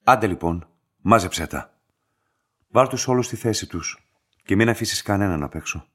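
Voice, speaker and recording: An enigmatic laboratory master in a radio drama, a man with a low voice. He is cold and controlling, giving terse orders with detached authority. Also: animated, fairly bright tone, breathy edge. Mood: cold command. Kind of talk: radio play acting.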